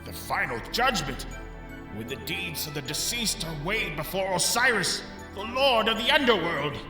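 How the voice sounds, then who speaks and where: Male actor as greedy blustering businessman, highish voice, melodramatic swoops, long reverb, audio drama. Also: big pitch swings, moderate pace, music in the background. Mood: nervous lecturing.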